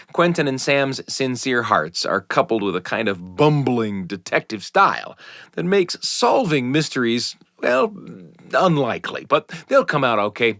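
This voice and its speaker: An American man hosts a storytelling show with a swooping, animated delivery at a middle pitch. He speaks in a folksy manner with dramatic emphasis, close to the mic in a dry studio. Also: no room sound. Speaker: podcast host. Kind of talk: warm-up narration introducing stories. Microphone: close studio microphone.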